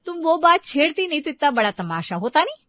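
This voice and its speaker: A woman's voice: sits high, sing-song, brisk, dry recording, lo-fi sound, voice pushed up. A woman voicing an aggrieved, sarcastic daughter-in-law from a dramatised family story, complaining and scolding. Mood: angry, scolding.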